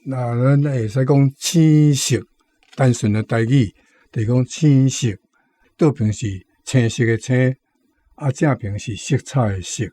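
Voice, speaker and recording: Slow, mellow Taiwanese man explaining words. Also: mid-range voice. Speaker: language commentator. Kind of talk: explaining vocabulary like a teacher.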